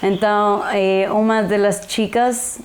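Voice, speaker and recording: Uma jovem adulta do Equador, nativa em espanhol, dá seu testemunho num português hesitante com sotaque equatoriano, misturando palavras espanholas. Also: female voice, medium pitch, little pitch movement. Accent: Ecuadorian Spanish. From Ecuador. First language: Spanish. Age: young adult. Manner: halting, mixes Spanish words into Portuguese. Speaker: resident sharing her testimony. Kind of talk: personal testimony.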